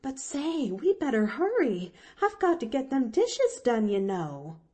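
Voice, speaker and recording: A woman's voice: sits high, huge pitch swings, a little slow, a little mellow, husky, voice pushed up, full character voice. A woman voicing a kindly, dogged country housemaid in a storybook, folksy and ungrammatical, full of flustered exclamations.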